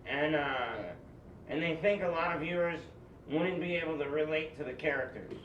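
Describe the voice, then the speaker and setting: Affable, demanding male director boss , read by a man: higher voice, halting lines, roomy distant sound. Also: fairly even intonation, fairly bright tone, close to an everyday voice. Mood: matter-of-fact. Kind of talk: amateur script table read. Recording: echoey, muffled, distant mic, faint noise.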